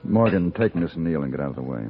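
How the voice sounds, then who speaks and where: An actor playing a cool, hard gunfighter for hire in a 1950s radio western, speaking in a deliberate, terse, understated way. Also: male voice, extremely low pitch, animated, a little hiss or hum. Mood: cool, firm. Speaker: lead actor and first-person narrator. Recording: muffled vintage radio broadcast, music underneath.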